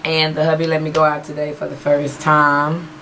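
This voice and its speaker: A woman talks at a webcam in a small room at home, her voice hoarse and low. She rambles through a beauty haul with plenty of fillers. The recording has a clear hiss of background noise. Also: completely flat delivery, normal speed, fairly bright tone. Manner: chatty, rambling with many fillers. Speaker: beauty vlogger. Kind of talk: casual haul video chat. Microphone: webcam in front of her.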